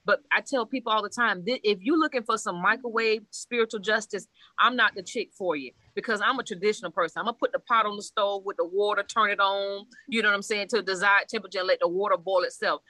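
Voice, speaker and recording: A woman's voice: mid-range voice, fast talker, pushed and bright, no room sound. A woman from Haiti, a priestess, talking bluntly and animatedly in a casual conversation, laughing often and swearing freely. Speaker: Ifa priestess guest.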